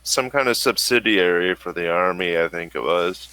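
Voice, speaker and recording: A man running a tabletop game as game master, reading out coordinates slowly and pausing to search his notes. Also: sits low, pushed and bright, clear, resonant tone, low-quality recording. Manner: slow, hesitant, searching his notes aloud.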